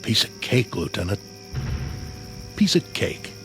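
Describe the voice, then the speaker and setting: Tired sergeant, low voice, dry sarcasm, folksy, humane, radio drama, faint music underneath. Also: male voice, very animated, takes it slowly, vocal fry, heavily acted. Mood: dryly sardonic. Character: weary, sardonic, humane army sergeant.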